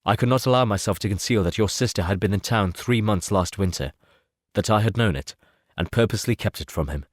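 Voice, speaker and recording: A man reading the part of a composed, self-assured gentleman in an audiobook, measured and formal. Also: lowish voice, no echo, studio quality, close to an everyday voice. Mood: candid, composed. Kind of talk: audiobook character dialogue.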